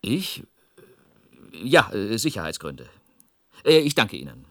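An actor playing a nervous, evasive ministry official on the telephone, hesitant yet urgent, in a radio drama. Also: male voice, on the low side, sing-song, slow, very noisy, exaggerated voice.

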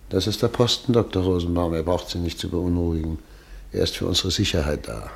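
A calm camp doctor, with a deep, gravelly, husky voice. He speaks slowly and soothingly in a radio play. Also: heavily acted. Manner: soothing, steady.

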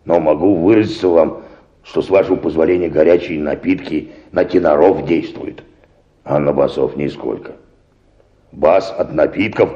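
An actor playing a wordy, obsequious, aggrieved church choir singer in a radio play. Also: male voice, rumbling low, warm tone, highs cut off. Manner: rambling, clumsily formal, hesitant phrasing.

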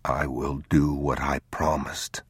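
A worn-out father murmurs comfort to his sick child, slow and hushed, almost whispering, as a man gives him voice. The voice sits very low and husky. It sounds dry and close. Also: dry recording, heavily acted. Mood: whispered vow. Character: weary, frightened father soothing his son.

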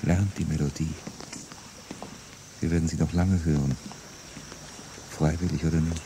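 An actor playing a grim, fanatical revolutionary in a German radio drama, speaking in grave, incantatory lines. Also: male voice, rumbling low, flat delivery, unhurried, gravelly, noisy background, heavily acted.

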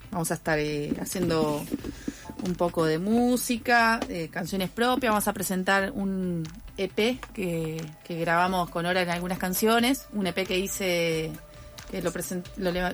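Low-voiced woman musician. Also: slow pace, faint music behind. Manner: easygoing, hesitant with many fillers. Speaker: musician guest. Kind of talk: radio interview. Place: radio studio.

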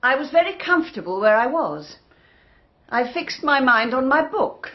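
Self-assured British wife, composed, reserved, fairly high voice, dull radio drama recording. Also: female voice, big pitch swings, moderate pace. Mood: guarded, cool. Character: cool, self-assured, guarded married woman giving evidence.

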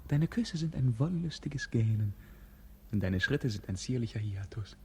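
Actor as a languid, bored prince, swooping theatrically. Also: male voice, mid-range voice, expressive, moderate pace, background noise, lightly acted. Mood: languid, dreamy. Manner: theatrical, poetic, mocking. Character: bored, languid, capricious young prince and lover. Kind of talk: 1950s German radio play acting.

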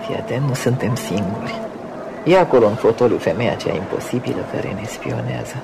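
A woman's voice: a bass voice, animated, noisy background. An actress playing a teasing, ironic society lady with an arch, theatrical manner in a Romanian radio play. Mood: flirtatious, weary.

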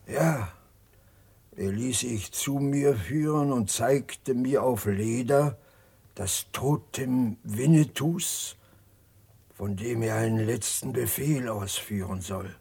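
Very husky, gravelly old tribesman. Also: mid-range voice, slow pace, a little mellow, full character voice. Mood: solemn. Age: older. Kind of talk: German radio drama acting.

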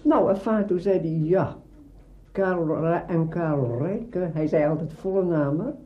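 High-voiced old man spinning theatrical anecdotes. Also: a little slow, highs cut off. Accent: Dutch from the Netherlands. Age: 80s. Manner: juicy, theatrical storytelling with acted-out dialogue. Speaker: veteran radio drama actor being interviewed. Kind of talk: conversational anecdote telling.

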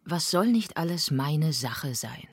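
A woman playing a self-willed egoist thinker in a husky, partly whispered, deliberate radio reading. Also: low voice, expressive, over music. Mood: hushed, brooding. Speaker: reader of literary quotation. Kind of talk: dramatic literary reading in a radio feature.

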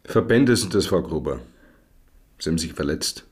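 Police inspector, radio play, very low voice, husky, quiet, patient, measured. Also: male voice, animated, heavily acted. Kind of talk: radio drama acting.

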